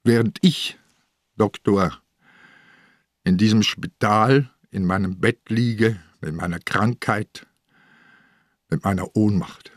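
Old male inspector, ailing, grimly determined, very slow weighty delivery, dry studio sound, radio crime drama. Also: on the low side, no echo. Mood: grim, defiant. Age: older. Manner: deliberate, emphatic, weighty. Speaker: actor in a crime radio play. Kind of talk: German radio drama acting.

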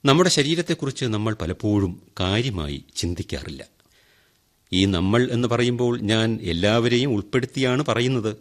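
A man's voice: on the low side, lively intonation, moderate pace, close to an everyday voice. An actor playing a wry man who talks straight to the audience in a radio drama monologue. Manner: wry, chatty and teasing with the listeners. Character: ironic middle-aged man who donates a kidney. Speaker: monologue narrator in a radio play. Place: radio studio.

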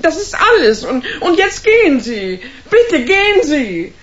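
A high, very animated voice of a frightened small-time actress. She is timid, hesitant and pleading, in an anxious radio drama performance. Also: a little slow, muffled recording. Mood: pleading, distraught.

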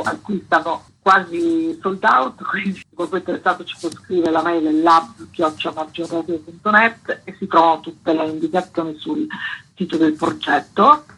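A woman speaks as a radio guest, laying out details in an explanatory way. Her voice is husky and bright, with a forceful edge. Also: fairly low pitch, poor audio. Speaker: guest presenting an arts project. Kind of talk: informal radio interview, explaining an event programme. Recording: radio interview.

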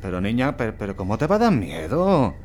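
A man's voice: wildly swooping pitch, brisk, a little mellow. A man acting in an audio drama as a weary, impatient driver, with a colloquial, grumbling delivery. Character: weary, impatient male driver searching refugee-packed road with a child. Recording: noisy, with vehicle rumble behind.

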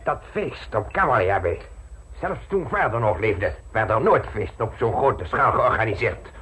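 An actor in a radio play, playing a wry gentleman who chats and gossips over breakfast. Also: fairly low pitch, expressive, normal speed, a little hiss or hum. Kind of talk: radio drama acting.

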